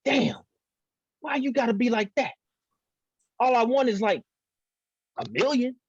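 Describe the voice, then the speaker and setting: A man's voice: extremely high pitch, animated, measured pace, husky, no echo, full character voice. An actor at a table read playing a young man hustling his grandfather for money, wheedling and indignant. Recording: dull, dry lo-fi audio.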